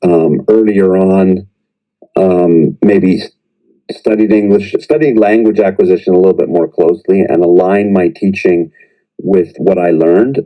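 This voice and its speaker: A middle-aged man with a very low, deep voice speaks as a guest on a podcast. He talks in a thoughtful, searching way, full of fillers and restarted phrases as he reflects on teaching. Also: fairly even intonation, unhurried, mellow, low-quality recording. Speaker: professor, English teacher in Japan. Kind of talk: conversational podcast interview.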